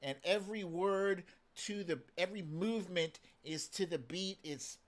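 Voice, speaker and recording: A man's voice: sits high, normal speed. A man hosting a casual live stream, chatting playfully with exclamations as he addresses his viewers.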